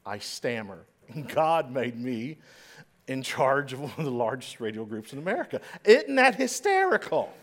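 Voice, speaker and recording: A man preaching a sermon in a church, dramatic and swinging between loud and hushed, with a slight stutter at times. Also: mid-range voice, sing-song, moderate pace. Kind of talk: sermon storytelling. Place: church stage.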